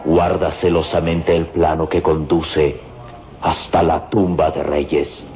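A man's voice: extremely low pitch, little pitch movement. An actor playing a solemn, devoted Egyptian man in an old radio serial, speaking reverently and declaiming in style. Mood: reverent, mysterious. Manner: slow, declamatory, reverent delivery. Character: solemn, devoted Egyptian man in love, loyal to his people. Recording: dull old radio recording with music. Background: prominent dramatic music.

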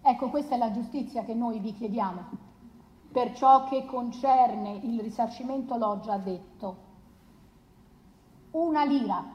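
A woman acts a resolute lawyer in a stage courtroom drama. She pleads solemnly in a high voice, very slowly, with deliberate emphasis on key words. The room around her echoes. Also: darkish tone, close to an everyday voice. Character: resolute female lawyer pleading for a wronged girl. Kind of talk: theatrical courtroom closing plea, stage adaptation of a trial.

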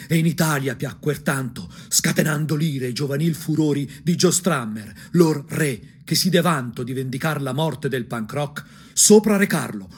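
A man from provincial Tuscany narrating a theatrical radio monologue, husky, dramatic and ironic, dropping into whispers. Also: on the high side. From provincial Tuscany, Italy. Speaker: narrator and actor.

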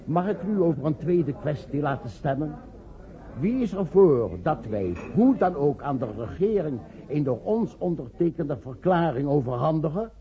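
A man acting an earnest, diplomatic professor in a Dutch radio play, speaking in formal, measured oratory. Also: on the high side, expressive, warm tone, close to an everyday voice. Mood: weary, formal. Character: earnest, diplomatic professor pleading with scientific colleagues. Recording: dull, lo-fi old radio recording.

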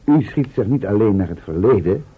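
An actor playing a polite, tentative scientist's assistant in an old Dutch radio play, questioning, with a Netherlands accent. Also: male voice, fairly low pitch, animated, a little fast, slightly rough, phone-quality sound. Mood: thoughtful. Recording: thin, band-limited old radio recording.

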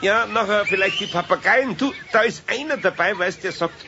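An actor playing a kindly, flustered older master carpenter in a children's radio play, with a Bavarian accent. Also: male voice, high-pitched, normal speed, gravelly. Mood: coaxing, jovial. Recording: dull, noisy 1970s radio play tape.

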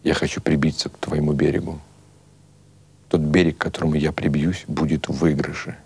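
A man voicing a young gangster who speaks tersely in a quiet, deadpan way. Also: extremely low pitch, fairly quick, husky, pitched below the performer's own voice, exaggerated voice. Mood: cool, low. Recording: music behind the voice.

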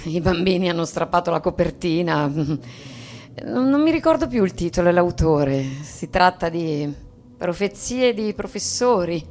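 An actress playing a weary, melancholy wife and mother in a theatrical radio play reading, pensive and sinking into breathy murmurs. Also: on the low side, animated, a little slow, a little mellow, a little hiss or hum.

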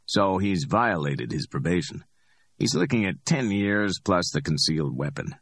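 A man's voice: deep voice, sing-song, moderate pace, gravelly, dry recording, full character voice. A man voicing a matter-of-fact middle-aged bail bondsman.

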